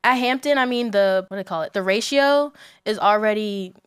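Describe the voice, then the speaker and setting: A woman's voice: medium pitch, fairly quick, clean tone, dry recording, studio quality. A young adult woman speaking as a student guest in a casual podcast interview, informal and candid in the way she talks.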